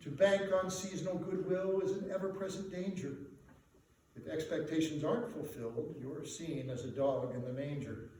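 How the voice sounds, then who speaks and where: A man performing a dramatic recitation of comic rhyming verse for an audience, in a theatrical, measured delivery with emphatic swells. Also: fairly high pitch, steady tone, dark tone. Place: live performance before a laughing audience in a reverberant room. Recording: echoey room, distant mic, faint noise.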